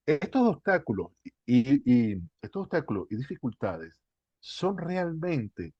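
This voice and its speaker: Soft-spoken man from Spain, muffled line. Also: medium pitch, no echo. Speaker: guest researcher and therapist. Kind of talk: explanatory interview talk. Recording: dull, dry lo-fi line.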